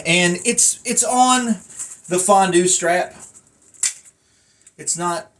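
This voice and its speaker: A man's voice: on the high side, pushed and bright, a little hiss or hum. Man rambling through a casual hands-on review. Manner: rambling, thinking aloud with asides. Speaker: collector reviewing a wrestling belt. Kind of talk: casual unscripted product review.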